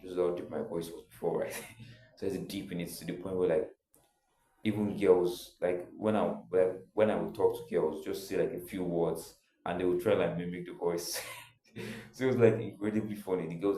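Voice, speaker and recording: A young man tells his own story in a loose, rambling way, leaning on filler words as he goes. His voice sits very low and sounds mellow and soft-edged. The room around him adds a clear echo. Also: little pitch movement, brisk. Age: young adult. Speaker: vlogger. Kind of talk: casual personal storytelling.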